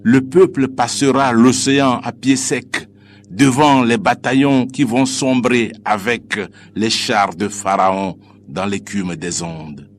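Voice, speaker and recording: A man recites poetry in a solemn, declamatory way, with measured phrasing and deliberate pauses. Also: medium pitch, steady tone, a little hiss or hum. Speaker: poet reciting own poem. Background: music.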